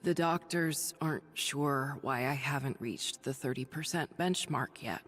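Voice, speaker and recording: An actress playing an ailing, stubborn woman doctor turned hero in a video game drama, speaking in a halting, confiding but resolute way. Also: extremely low pitch, a bit bright, long reverb tail, exaggerated voice. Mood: tired, confiding. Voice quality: husky, breathy, creaky. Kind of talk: dramatic video game acting, audio log monologue.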